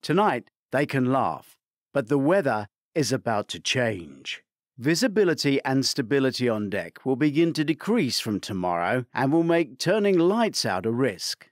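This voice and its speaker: A man reading a crime thriller aloud as scripted narration, measured and theatrical, lifting his voice for quoted commands. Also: medium pitch, very animated, dry recording, studio quality. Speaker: audiobook narrator.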